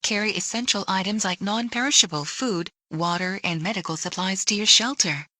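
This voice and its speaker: Bright, dry female emergency announcer. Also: medium pitch, normal speed, no room sound. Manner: clipped, impersonal instructions. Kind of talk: scripted emergency alert list reading.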